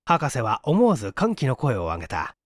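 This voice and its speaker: A man's voice: medium pitch, huge pitch swings, moderate pace, no echo, studio quality. A man reading a mystery novel aloud as dramatic scripted narration. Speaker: audiobook reader.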